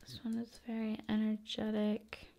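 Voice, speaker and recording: A woman hosting ASMR in a calm, soothing manner, moving between soft speech and whispering. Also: medium pitch, normal speed, highs cut off. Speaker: ASMR video host.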